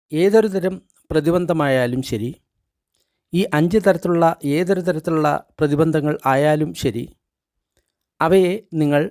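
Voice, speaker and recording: A man's voice: a little high, a little mellow, dry recording, studio quality. A Malayali man reads guided meditation instructions aloud, speaking in short, separated phrases in an instructional manner. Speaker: meditation guide reading a translated book.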